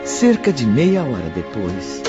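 A man's voice: mid-range voice, huge pitch swings, measured pace. A man narrating a radio drama from a script in a storytelling role. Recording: muffled band-limited audio with music behind.

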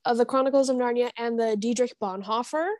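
A woman's voice: on the high side, fast, darkish tone, dry recording, studio quality. A woman guest on a podcast, talking casually and enthusiastically. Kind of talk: casual chat.

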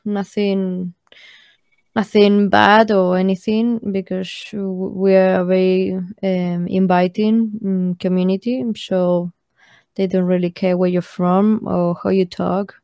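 A woman whose first language is Spanish chats in English with a warm, mellow tone. She talks casually and jokes about herself. The sound is dry and close. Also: medium pitch, flat delivery, a little slow, smooth, dry recording. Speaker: podcast guest. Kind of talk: casual chat.

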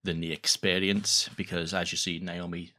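A man being interviewed as a guest, talking casually in an earnest, halting way and often repeating words. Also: deep voice, flat delivery, sharp tone. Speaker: podcast guest, audio drama creator.

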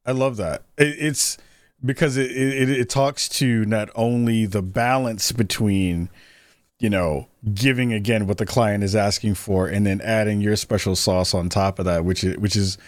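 A man's voice: fairly low pitch, steady tone, a little slow, dry recording, studio quality. A man hosting a podcast interview, asking long questions with plenty of enthusiasm. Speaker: podcast host. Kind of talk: conversational interview.

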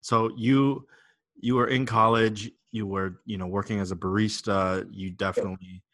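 Low-voiced man hosting a podcast. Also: fairly even intonation, normal speed, a bit bright. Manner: hesitant, filler-heavy questions. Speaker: podcast host. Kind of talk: scripted intro then interview questions.

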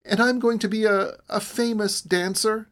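A man's voice: high voice, fairly even intonation, normal speed, pitched above the performer's own voice, lightly acted. A man voicing a stubborn, defiant young woman in a storybook, challenging and intense. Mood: hopeful, hungry.

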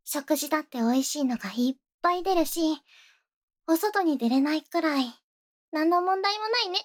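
A woman's voice: high voice, animated, moderate pace. A woman playing a possessive, sweetly menacing young rich girl in a Japanese ASMR voice drama, with cutesy, coaxing phrasing and whispers. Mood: sweetly gloating.

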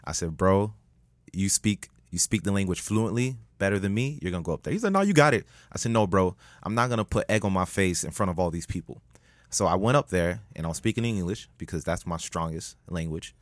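A man telling a story as a podcast guest, animated, jumping up in pitch when he jokes. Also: a little fast, no room sound. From United States. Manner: animated, rises sharply in pitch when joking. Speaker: guest, public speaker.

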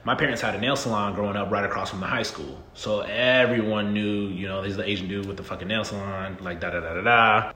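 Candid low-voiced man in his thirties. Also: fast talker, hardly any pauses. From Southern United States. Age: 30s. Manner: relaxed and candid, frequent "um" and "you know what I mean". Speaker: podcast guest. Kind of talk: casual interview chat. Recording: echoey room with faint noise.

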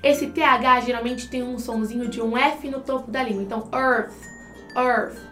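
High-voiced Brazilian woman teaching English. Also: steady tone, normal speed, pushed and bright, echoey room, soft background music. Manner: explanatory, addresses viewers directly, uses examples. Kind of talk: conversational language lesson for online video.

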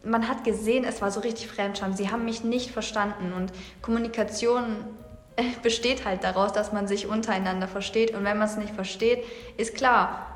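A woman's voice: mid-range voice, steady tone, moderate pace. Chatty woman from Saxony in echoey room. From Saxony, Germany. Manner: chatty, thinks aloud with fillers. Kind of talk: casual discussion. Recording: echoey room with long reverb.

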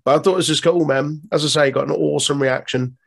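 A man's voice: on the high side, steady tone, fast talker, creaky, breathy edge. A man co-hosting a podcast in casual chat, rambling and restarting sentences, swearing and laughing often. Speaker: podcast co-host.

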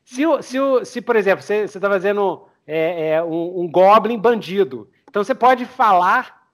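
Higher-pitched Brazilian man hosting a podcast. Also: lively intonation, a bit bright. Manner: halting, repeats words while explaining. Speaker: podcast host. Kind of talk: casual interview chat.

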